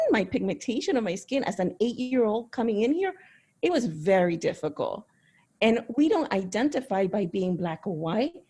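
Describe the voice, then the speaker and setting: A woman sharing reflective, personal remarks in a panel discussion over a video call. Her voice is mellow and dark, with a husky edge. She talks in an earnest, thoughtful way. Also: mid-range voice. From Caribbean, raised in Miami. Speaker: panel participant.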